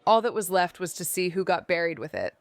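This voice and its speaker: An actress playing a wry, watchful young amateur sleuth in a noir audio drama, dry and understated. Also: female voice, on the low side, big pitch swings, fairly quick, no echo. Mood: wry, brooding. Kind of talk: noir audio drama dialogue and first-person narration.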